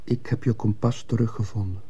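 A troubled, quietly probing teacher in a radio play. His voice is low and very mellow with a husky grain, restrained yet insistent. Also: steady tone, brisk. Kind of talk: Dutch-language radio drama acting.

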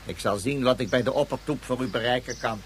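A man's voice: medium pitch, quick pace, a little hiss or hum, natural voice. An actor playing a haughty, coldly polite male official in a science fiction radio play, with stiff, formal and precise phrasing. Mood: affronted, stiff. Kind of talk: Dutch science fiction radio drama acting.

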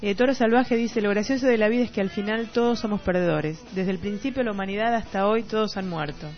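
A woman's voice: mid-range voice, fast. Argentine woman, radio co-host over music. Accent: Rioplatense Argentine. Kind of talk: casual literary radio chat, reading listener comments. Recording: slightly dull radio audio with music behind.

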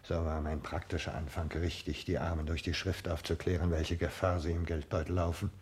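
A man's voice: low voice, little pitch movement, fairly quick, darkish tone, husky. A man acting as an earnest idealist doctor working as a secretary, solemn and formal in a 1960s German radio play. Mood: grave indignation.

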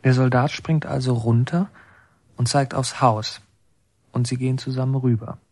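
A man's voice: medium pitch, fairly even intonation, moderate pace, a little mellow, dry recording, natural voice. A man acting as a watchful son who narrates his childhood in a literary radio drama. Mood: observant, restrained. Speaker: first-person narrator.

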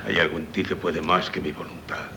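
An actor playing a menacing, mysterious seafarer with a gravelly voice in a Castilian Spanish radio drama, speaking ominously and deliberately. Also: male voice, very deep voice, little pitch movement, bright, forceful tone. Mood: commanding. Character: menacing mysterious seafaring stranger, commanding and fatalistic. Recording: noisy 1970s radio recording, distant voice.

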